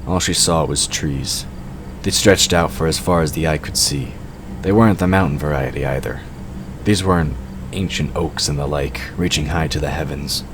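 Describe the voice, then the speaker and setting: Male narrator, extremely low pitch, scripted storytelling, clear background noise.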